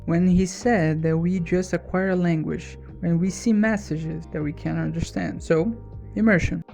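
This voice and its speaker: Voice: medium pitch, steady tone, measured pace, gravelly, dark tone, soft background music. A speaker from Brazil with a Brazilian accent and Portuguese as a first language, narrating a personal vlog from a script as a language learner.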